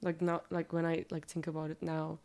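A young woman from Turkey, a native Turkish speaker, talking casually in an interview with a slightly nasal, deep voice and lots of "like". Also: fairly quick. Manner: relaxed, filler-heavy, says "like" a lot.

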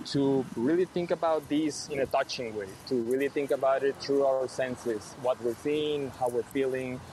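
Man, from Mexico, live outdoor radio host, halting restarts, faint traffic behind. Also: medium pitch, a little mellow, low-quality recording. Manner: thoughtful, halting, frequent restarts. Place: outdoors near a detention center, street traffic.

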